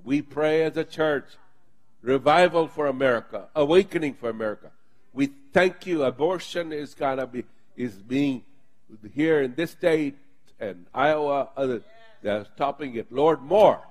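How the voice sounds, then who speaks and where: A man's voice: medium pitch, unhurried, darkish tone. A man preaching and leading his congregation in prayer, speaking fervently and with emphatic bursts. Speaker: pastor.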